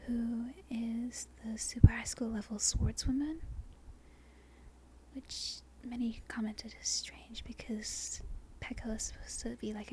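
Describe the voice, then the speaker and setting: A woman from Australia with a softened Australian accent, whispering an unscripted ASMR ramble in a halting, breathy way, often correcting herself. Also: on the high side, a little hiss or hum, low-quality recording. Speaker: amateur ASMR video creator. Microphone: non-binaural microphone.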